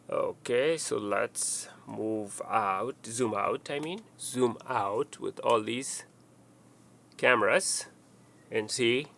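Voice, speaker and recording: A man giving an unscripted, step-by-step hands-on tutorial, speaking haltingly and often repeating or rephrasing his instructions. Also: mid-range voice, gravelly, a bit bright. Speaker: repair tutorial presenter.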